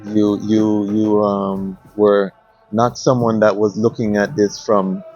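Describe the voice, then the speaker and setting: A man's voice: on the low side, level tone, slow, a little mellow, faint music behind. Male interviewer on dull lo-fi audio. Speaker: talk show host. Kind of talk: conversational interviewing.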